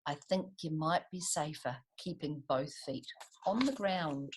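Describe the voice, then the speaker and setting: A woman's voice: sits low, measured pace, noisy background, pitched below the performer's own voice. A woman voicing a pompous male parliamentary Speaker in a children's storybook, in a declamatory manner. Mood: formal advice.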